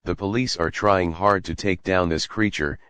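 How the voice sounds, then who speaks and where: A man's voice: deep voice, fairly even intonation, normal speed, fairly bright tone, clean tone, no echo. A man playing a television news anchor reading a scripted update. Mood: serious.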